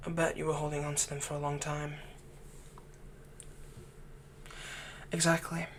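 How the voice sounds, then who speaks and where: A man playing a possessive young fantasy villain in an ASMR roleplay, tenderly soothing a captive in a soft voice that often turns breathy. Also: a little high, monotone, fast talker, a little hiss or hum, close to an everyday voice. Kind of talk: ASMR roleplay, intimate scripted monologue.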